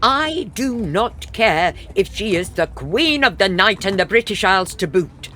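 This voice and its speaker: Indignant, animated scolding wife. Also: female voice, medium pitch, measured pace, slight background noise. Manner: emphatic, scolding. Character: firm, indignant middle-aged wife and protective mother. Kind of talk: farcical audio drama acting.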